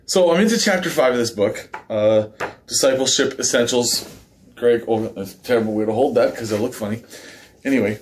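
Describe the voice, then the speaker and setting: Slightly hoarse man leading a devotional. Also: medium pitch, slight background noise. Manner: relaxed and conversational, with filler words and small laughs. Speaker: men's ministry devotional host. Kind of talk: casual devotional teaching. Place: living room by a fireplace, indoors. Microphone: fixed camera at mid distance.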